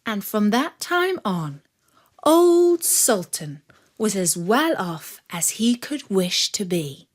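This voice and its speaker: A woman narrating a fairy tale for children in an expressive, measured storytelling manner. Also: mid-range voice.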